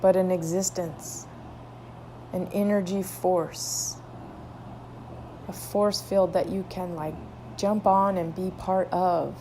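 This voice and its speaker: A woman leading a guided meditation, soothing, with drawn-out phrases and long pauses between them. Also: on the low side, steady tone, dark tone, a little hiss or hum, low-quality recording.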